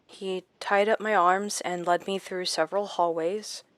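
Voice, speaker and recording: An actress playing a shaken woman recounting her captivity in a horror audio drama, halting and dramatic with deliberate pauses. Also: lowish voice, fairly even intonation, natural voice. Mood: tense, haunted. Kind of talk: first-person horror audio drama narration.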